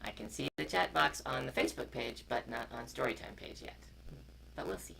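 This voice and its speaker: A man's voice: mid-range voice, sing-song, a little fast, gravelly, pushed and bright. A man co-hosting a story time, chatting informally and reading a picture book aloud, on a noisy, distorted live stream. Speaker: co-host, storyteller.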